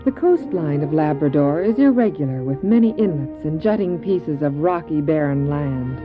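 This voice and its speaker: A woman playing the measured storyteller of an adventure audio drama, reading scripted dramatic narration. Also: deep voice, expressive, narrow, tinny audio, over loud music. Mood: measured, scene-setting. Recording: thin old cassette recording.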